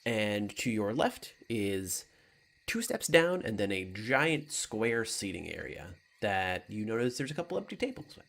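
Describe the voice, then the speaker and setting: A man's voice: lowish voice, very animated, measured pace, creaky, fairly bright tone. A man working as game master, narrating and commenting on a tabletop game in an informal, explanatory way.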